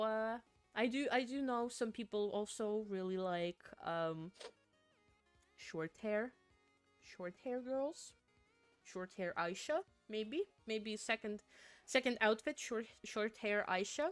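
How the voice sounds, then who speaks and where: Smooth-voiced giggly Finnish woman streamer. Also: mid-range voice, a bit bright, dry recording. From Finland. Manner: giggly, stammers and repeats words. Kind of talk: casual livestream chat with viewers.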